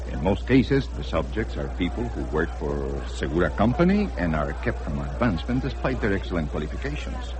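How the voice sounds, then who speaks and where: Deep-voiced, suave Mexican assistant. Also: male voice. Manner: formal, precise, courteous. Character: suave, measured Mexican personal assistant to a tycoon. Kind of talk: radio drama acting. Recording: noisy old radio drama recording.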